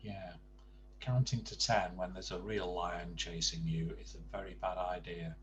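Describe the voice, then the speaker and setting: An older man reading a bedtime story to grandchildren, expressive, with chatty asides and questions to the young listener. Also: sits low, a little slow, lo-fi sound, a little hiss or hum.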